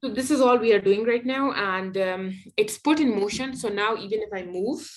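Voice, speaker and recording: A young woman with a Pakistani accent speaks as an interview guest. She talks quickly, in a mid-range voice, and keeps reaching for fillers like "like, you know" as she explains. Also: mid-range voice. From Afghanistan. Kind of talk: casual conversational interview.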